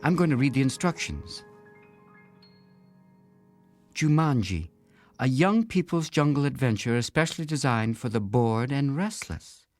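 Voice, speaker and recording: A man voicing an eager, bossy young girl in a storybook, bright and insistent in manner. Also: medium pitch, expressive, normal speed, faint music behind, voice pushed up, natural voice. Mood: bossy, instructive.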